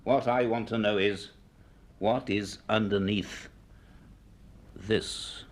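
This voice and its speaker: An actor playing a middle-aged, cautious British professor in a radio drama, speaking in a deliberate, formal, literary manner. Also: male voice, lowish voice, steady tone. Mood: grave, absorbed. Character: measured, cautious male university professor. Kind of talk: radio drama acting and narration. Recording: noisy archive radio drama recording.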